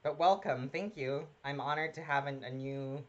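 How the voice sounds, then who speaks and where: A man's voice: medium pitch, moderate pace, lo-fi sound. A man casually commenting on a game he is playing live, chatting with viewers and greeting them by name, often laughing at himself. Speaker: streamer.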